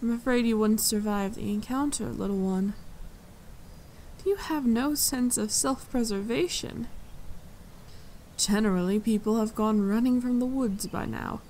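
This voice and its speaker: Slow, creaky regal fae woman played by an actress. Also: a little high, slight background noise. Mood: amused caution. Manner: measured, composed, gently condescending to the listener. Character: tall, regal fae court leader, dryly amused, protective. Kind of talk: slow, intimate ASMR fantasy roleplay. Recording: forest ambience with birdsong. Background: birdsong and forest sounds.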